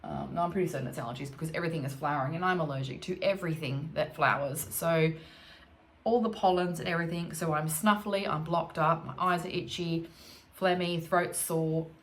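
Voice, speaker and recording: A woman with an Australian accent, croaky from allergies, chatting casually as she works through a DIY cleaning job at home. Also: sits low, brisk. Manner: thinking aloud, chatty, self-correcting. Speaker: homestead vlogger. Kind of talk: casual DIY vlog chat. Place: home, indoors.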